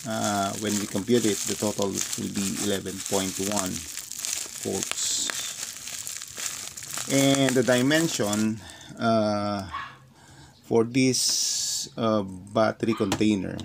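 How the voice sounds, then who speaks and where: Slow gravelly filipino guy, tagalog first language, lots of uh and um, crinkly plastic noise in the back. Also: lowish voice. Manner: hesitant, many fillers. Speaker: vlogger. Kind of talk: casual unboxing talk. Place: indoors at a desk. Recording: clear crinkling noise behind. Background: plastic crinkling and clicks.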